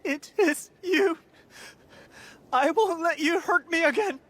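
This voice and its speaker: An actress playing a terrified, distressed captive in a video game, crying out in fear. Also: female voice, very high-pitched, slow, a bit bright, exaggerated voice. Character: terrified captive, pleading and defiant. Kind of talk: dramatic video game acting.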